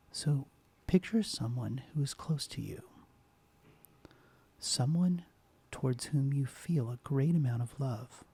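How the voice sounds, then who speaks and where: A man hosting a soft-spoken ASMR guided meditation, speaking slowly and gently, often dropping into a whisper. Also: medium pitch, breathy edge. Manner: slow, soft, partly whispered. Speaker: ASMR host.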